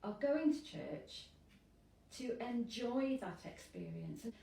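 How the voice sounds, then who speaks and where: A woman's voice: fairly high pitch, big pitch swings, slow pace, creaky, warm tone, recorded from a distance. Earnest woman priest, echoey room. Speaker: priest with hearing impairment. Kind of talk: interview.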